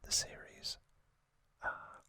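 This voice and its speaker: Man, ASMR host, breathy whisper, very slow, gentle, short broken phrases, trivia commentary.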